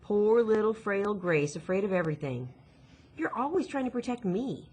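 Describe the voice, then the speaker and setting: A woman's voice: medium pitch, big pitch swings, fairly quick, a little mellow, slightly rough, pitched above the performer's own voice. A woman voicing an anxious, bookish girl who is guarded and self-critical in a storybook reading. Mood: confessional, remorseful.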